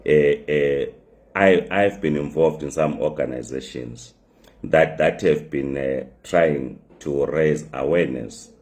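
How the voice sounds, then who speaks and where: A man with a hoarse voice from the flu, hosting a live talk show from his phone, speaking haltingly with many fillers and repeated words. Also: rumbling low, darkish tone. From migrant living in South Africa.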